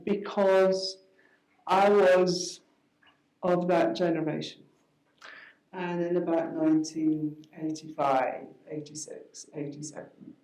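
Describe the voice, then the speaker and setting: A woman's voice: low-pitched, mellow, reverberant, rough recording. A woman in her sixties who grew up in Scotland, a guest speaking on stage in a wry, self-deprecating way with many restarts. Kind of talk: conversational talk on stage. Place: event hall with audience.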